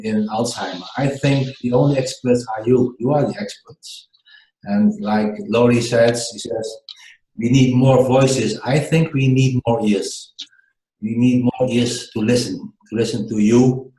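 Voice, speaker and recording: A man from the Netherlands with a Dutch accent, a guest co-host speaking English as a second language in a halting, earnest way, often searching for words. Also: mid-range voice, warm tone, reverberant, poor audio. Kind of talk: radio show talk.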